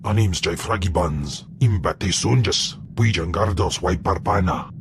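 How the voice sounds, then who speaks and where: An ominous alien monster from a game, very deep and solemn. It speaks guttural invented words with a hungry menace, over faint background noise. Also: male voice, measured pace. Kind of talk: video game character acting.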